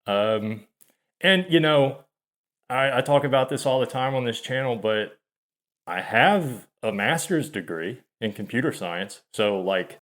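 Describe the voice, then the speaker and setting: Slow, halting man in his thirties. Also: medium pitch, lively intonation, a bit bright. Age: 30s. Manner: halting and hesitant, many fillers and restarts. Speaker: channel host. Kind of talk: confessional monologue to camera.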